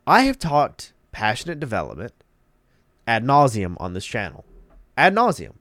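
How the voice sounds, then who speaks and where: A man's voice: mid-range voice, huge pitch swings, normal speed, fairly bright tone, dry recording, studio quality. A man hosting a casual gaming commentary, congested and emphatic, repeating words for emphasis. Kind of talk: casual commentary reading articles.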